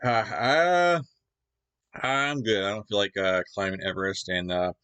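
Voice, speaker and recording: A man's voice: lowish voice, a little slow, a bit bright, clean tone, dry recording. A man hosting a reaction video, chatting casually and rambling with many fillers.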